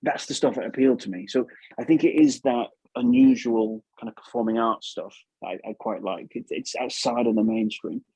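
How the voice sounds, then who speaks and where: A man's voice: medium pitch, gravelly, mellow, breathy edge, no echo, low-quality recording. A man with an English accent talking casually and enthusiastically as an interview guest, rambling and often repeating words. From England. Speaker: puppeteer, podcast guest.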